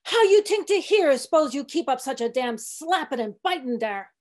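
A woman's voice: high voice, very animated, moderate pace, darkish tone. A woman voicing a sullen old male ship's cook who preaches in a mumbling, grumbling way.

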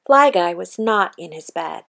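A woman's voice: fairly low pitch, lively intonation, unhurried, vocal fry, fairly bright tone. A woman narrating a scripted children's story as a storybook reader. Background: faint noise, sound effects.

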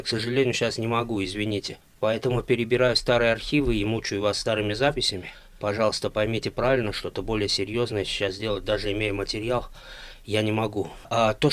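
A middle-aged man talking casually to camera in a halting, subdued, apologetic way. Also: lowish voice. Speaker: author narrating own novel. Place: small room at home.

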